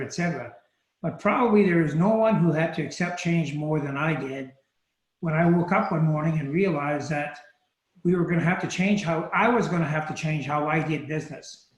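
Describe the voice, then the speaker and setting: A man's voice: fairly high pitch, roomy sound, rough recording. A man in his late sixties on a video call panel, frankly telling a story about himself. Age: 60s.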